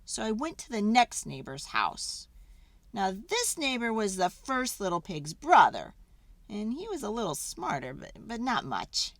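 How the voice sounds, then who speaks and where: A woman's voice: medium pitch, wildly swooping pitch, a little slow, fairly bright tone, dry recording, exaggerated voice. A woman voicing a folksy, mock-sincere wolf who pleads his innocence, in a conversational children's read-aloud style. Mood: self-justifying. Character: folksy, innocent-acting wolf telling his side, self-justifying.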